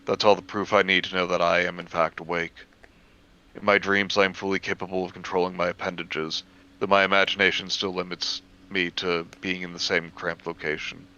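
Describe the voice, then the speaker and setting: Deep, bright-voiced man reading horror aloud. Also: little pitch movement, a little fast, muffled recording. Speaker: podcast co-host reading a story aloud.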